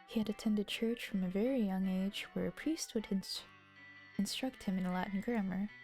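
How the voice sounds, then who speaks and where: A young woman with a slightly husky voice narrating a story and breaking off into giggly chatter. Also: medium pitch, moderate pace, darkish tone, faint music behind. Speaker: streamer reading a visual novel aloud. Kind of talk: scripted narration with casual asides.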